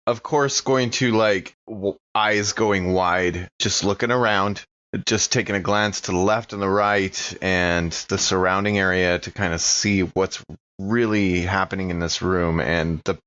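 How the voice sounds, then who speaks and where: Male tabletop player, very low pitch, casual delivery, dull lo-fi recording. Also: steady tone, a little slow, fairly bright tone. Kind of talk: casual game chat. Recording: dull lo-fi microphone sound.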